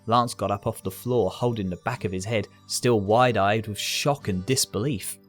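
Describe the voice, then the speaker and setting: Male story narrator, fairly low, scripted reading over quiet guitar. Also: moderate pace.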